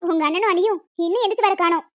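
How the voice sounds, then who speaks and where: A woman's voice: very high-pitched, very fast, a bit bright, lo-fi sound. A woman voicing a nagging, disapproving older mother-in-law who complains and scolds in a cartoon family drama. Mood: grumbling.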